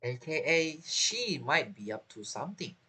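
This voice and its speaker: A woman's voice: sits low, sing-song, moderate pace, pushed and bright, breathy edge. A woman giving casual, rambling let's-play commentary, reacting aloud as she plays. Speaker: game streamer.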